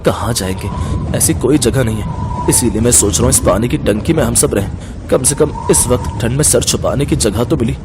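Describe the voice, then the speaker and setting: A man's voice: mid-range voice, fairly quick, noisy background, close to an everyday voice. A man playing a poor, resigned, earnest family father in a cartoon story. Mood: helpless.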